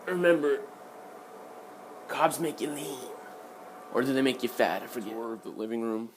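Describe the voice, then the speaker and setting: A man's voice: mid-range voice, animated, moderate pace, noisy background. A man in his early twenties talking casually and candidly to his viewers in a blunt, sweary vlog style. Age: early 20s. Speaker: vlogger.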